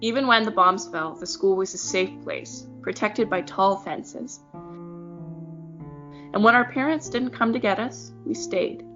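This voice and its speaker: An actress voicing a lonely, obedient schoolgirl left behind at a school, narrating softly and wistfully in an audio drama. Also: mid-range voice, measured pace, close to an everyday voice. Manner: soft, wistful, a little fearful. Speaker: narrator of the story. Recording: muffled audio with piano score.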